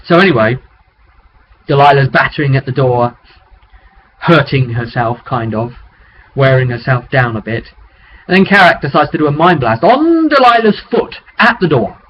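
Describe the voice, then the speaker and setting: A man's voice: medium pitch, very animated, moderate pace. A man talking as a game master, recapping a tabletop roleplay session in a rambling, conversational storytelling way on a webcam microphone. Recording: dull webcam audio with background noise.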